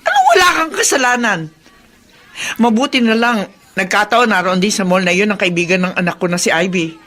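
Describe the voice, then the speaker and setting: A woman's voice: mid-range voice, brisk, sharp tone, lightly acted. An actress playing an indignant, protective middle-aged Filipino mother in a radio drama, speaking emphatically. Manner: scolding, emphatic.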